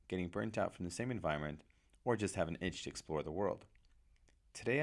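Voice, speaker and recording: A man delivering a scripted, measured podcast introduction as host. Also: low-pitched. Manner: measured, read-aloud delivery.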